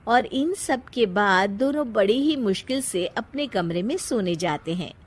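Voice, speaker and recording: A woman narrating a scripted moral story in a measured storytelling delivery. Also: mid-range voice, animated, husky.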